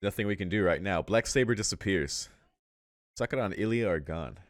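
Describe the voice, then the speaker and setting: A man reading a visual novel aloud as a let's play host, in measured narration with casual, slangy asides. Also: sits low, animated. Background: music.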